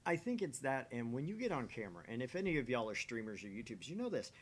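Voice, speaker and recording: A man's voice: sing-song, fast talker. A man hosting a livestream, chatting casually and earnestly into a microphone. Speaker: livestream host of a gaming channel. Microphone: microphone on a stream setup. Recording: noisy mic with low rumble.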